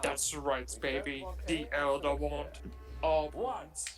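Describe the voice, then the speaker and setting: A man's voice: on the high side, level tone, takes it slowly, soft background music. A man bantering casually and jokily over a film, riffing with sweary mock bombast. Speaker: co-host of a comedy film commentary show.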